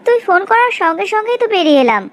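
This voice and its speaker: A woman's voice: very high voice, very animated, rapid-fire. A woman playing an earnest, self-sacrificing rich young woman in a cartoon melodrama, speaking plaintively. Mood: bewildered.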